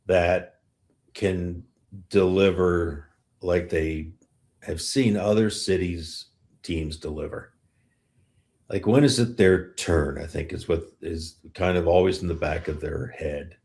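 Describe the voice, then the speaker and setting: A man's voice: deep voice, fairly even intonation, slow pace, darkish tone. A man hosting a casual sports discussion, speaking bluntly and informally, repeating phrases for emphasis. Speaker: sports podcast host.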